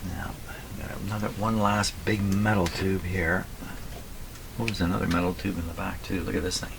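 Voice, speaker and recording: A man with a slightly hoarse voice gives casual running commentary as he works, muttering to himself and reading things out deliberately. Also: deep voice, fairly even intonation. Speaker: radio repairer and video host. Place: radio repair workshop at a bench. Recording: clearly noisy workshop recording with clicks.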